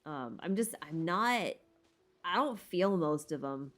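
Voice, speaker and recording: A woman's voice: lowish voice, lively intonation, takes it slowly. A woman hosting a casual livestream Q&A, talking informally and hesitantly as she thinks aloud. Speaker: livestream host answering viewer questions. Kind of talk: casual livestream Q&A chat about game lore.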